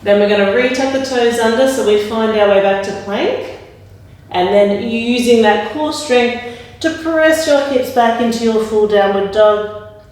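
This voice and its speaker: A woman leading a yoga class with calm, gentle spoken cues. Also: medium pitch. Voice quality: slightly raspy when straining. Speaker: yoga instructor. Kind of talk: guided yoga class instruction. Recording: very echoey room, mic at a distance.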